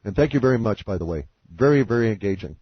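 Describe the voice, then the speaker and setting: A man with a low, husky voice speaks as a guest on a conference call. He delivers a reflective monologue in formal, wordy phrases, halting and deliberate. The sound is dull and slightly muffled. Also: expressive, dry recording. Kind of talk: long reflective spoken monologue on spiritual ideas.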